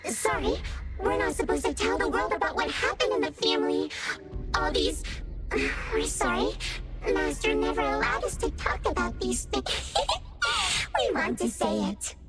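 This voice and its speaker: A woman's voice: very high voice, very animated, normal speed, loud music behind the voice. A woman voicing an eerie flower spirit in a video game, theatrical and repetitive in a singsong way. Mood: manic. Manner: theatrical, repeating words in singsong. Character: eerie female flower spirit, servant of a dead master.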